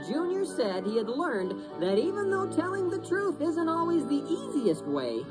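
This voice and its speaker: A woman's voice: high-pitched, sing-song, normal speed, over music. A woman reading a children's storybook as a dramatic narrator.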